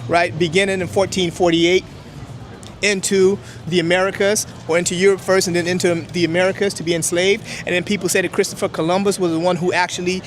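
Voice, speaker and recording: A man's voice: high-pitched, flat delivery, fast talker, slight background noise. A man whose first language is Hebrew, explaining history emphatically in an interview outdoors. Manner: emphatic, lecturing, with many "right?" checks. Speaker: interview guest.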